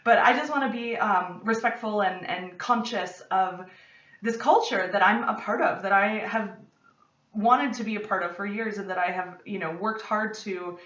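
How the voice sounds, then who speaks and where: A young American woman hosting her podcast, chatting excitedly to camera from her home study and rambling into asides. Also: medium pitch, moderate pace. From United States. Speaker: podcast host. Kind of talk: casual chat to camera. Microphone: camera at desk distance. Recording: roomy, slightly distant, dull lo-fi.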